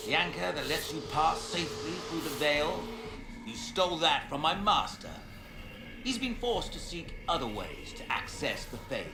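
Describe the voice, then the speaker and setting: Smug, pompous villain mage with swooping delivery. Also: male voice, mid-range voice. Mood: menacing. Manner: pompous, gloating, theatrical. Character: smug fanatical male mage villain serving a dark master. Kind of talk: dramatic fantasy game acting. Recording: echoey, with music behind.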